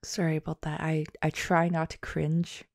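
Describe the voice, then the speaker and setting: A woman talking casually and from the heart to her listeners, halting and self-deprecating, in an informal way. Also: low-pitched, completely flat delivery, gravelly, darkish tone, dry recording, clean studio sound. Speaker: audio roleplay and ASMR creator.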